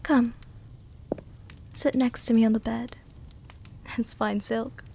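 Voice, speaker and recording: A woman playing a worldly, softly dominant vampire countess in an intimate roleplay ASMR, with a soft, gentle, tenderly commanding way of speaking. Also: a little high, flat delivery, slow, gravelly, slight background noise. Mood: gentle, coaxing.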